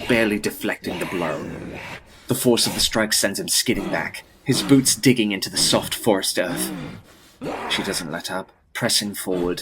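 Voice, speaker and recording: A man with a deep, husky voice giving a dramatic scripted narration of a gothic story. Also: noisy background.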